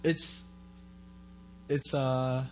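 A man in casual chat, relaxed and joking, dropping his voice low in his asides. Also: drawn-out words, soft background music.

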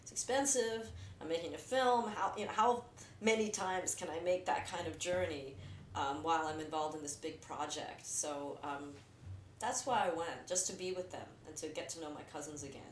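Woman, American accent, low pitch, reflective and candid, distant mic, conversational. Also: moderate pace. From New Jersey, United States. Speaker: filmmaker. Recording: roomy echo, distant mic, faint noise.

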